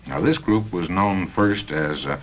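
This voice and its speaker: An American man reading scripted narration for a 1970 company training film, with measured, deliberate phrasing and pauses. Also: deep voice, faint music behind. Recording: dull 1970 film soundtrack.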